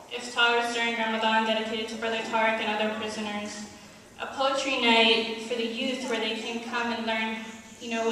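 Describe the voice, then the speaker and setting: A woman's voice: fairly high pitch, fairly bright tone. A woman giving an earnest, emphatic prepared speech as a committee member, appealing to her community to get involved. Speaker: activist committee member giving closing remarks. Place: large indoor hall at an Islamic center. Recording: echoey hall, distant mic, faint noise.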